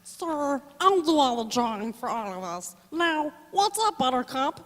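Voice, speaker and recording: An actress playing a cheeky, brash gangster adviser in a radio play, full of old-time slang. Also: female voice, high-pitched, sing-song, unhurried. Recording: hall with long reverb.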